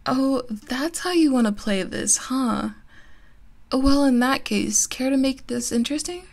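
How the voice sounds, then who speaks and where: A woman's voice: high voice, a little slow, gravelly, darkish tone. A woman playing a teasing, competitive gamer best friend in a casual, giggly ASMR roleplay. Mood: playful. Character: playful, competitive gamer girl, listener's close best friend. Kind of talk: ASMR roleplay, casual one-sided conversation.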